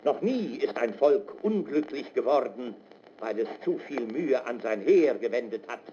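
Muffled 1930s officer orating. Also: male voice, mid-range voice, big pitch swings, unhurried, mellow. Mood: stern, exhorting. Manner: declamatory, solemn. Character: stern male officer orating obedience on a 1936 recruitment record. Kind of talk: 1930s propaganda speech. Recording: muffled, noisy old gramophone record.

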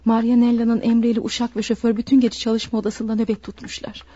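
An actress playing a sober member of a household giving a report in a Turkish radio play. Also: female voice, fairly high pitch, little pitch movement, fast, mellow, natural voice. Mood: sober, concerned. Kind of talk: Turkish radio theatre acting.

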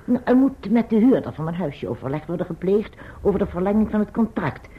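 An actress playing a brisk, practical older aunt in a radio drama, direct and matter-of-fact. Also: female voice, mid-range voice, expressive, natural voice. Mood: brisk concern. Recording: muffled, dull old radio drama recording.

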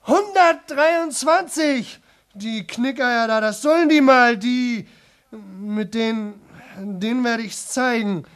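Slow, exhausted young man. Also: high-pitched. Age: young adult. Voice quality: rough, strained throat. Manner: halting, repetitive, broken fragments. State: exhausted, sore-throated. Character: exhausted, desperate young man attempting nonstop-talking world record. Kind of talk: 1970s German radio play monologue.

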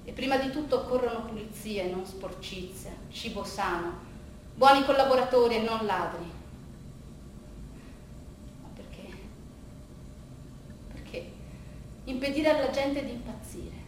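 A woman's voice: fairly high pitch, expressive. Woman playing a weary veteran psychiatrist, echoey and distant. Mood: bitter, questioning. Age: older. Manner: measured, deliberate, rhetorical repetition. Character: veteran psychiatrist of thirty-five years, weary, disillusioned, reflective. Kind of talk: theatrical monologue. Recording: roomy, distant stage recording, faint noise.

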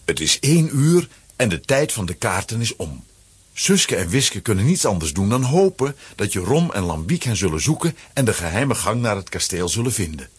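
A man with a husky, rough voice reading scripted narration for a children's story. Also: on the low side, very animated, normal speed, dry recording.

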